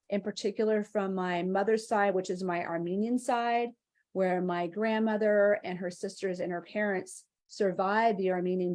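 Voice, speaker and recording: A woman's voice: medium pitch, darkish tone. A woman speaking as a guest on a live spiritual interview, talking in an earnest, heartfelt way with long flowing phrases. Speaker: interview guest, angel mentor.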